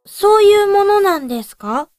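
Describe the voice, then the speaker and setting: A woman's voice: extremely high pitch, animated, moderate pace, roomy sound, big-room sound, over music. A woman playing an earnest, polite, small young male college student in an audio drama. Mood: polite, concerned. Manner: polite, formal, soft-spoken earnest delivery. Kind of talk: audio drama monologue and dialogue.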